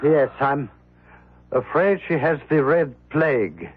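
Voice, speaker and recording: An actor playing a deferential, devoted manservant in a radio drama, formal, polite and anxious. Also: male voice, a little high, animated, slow pace, husky, exaggerated voice. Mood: distraught. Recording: thin, phone-like radio drama audio.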